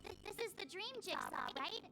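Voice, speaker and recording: A woman's voice: very high voice, wildly swooping pitch, normal speed, vocal fry, loud music behind the voice, full character voice. A woman voicing a small, curious, childlike companion creature in a game, hesitant and puzzled, stammering questions. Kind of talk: animated game dialogue.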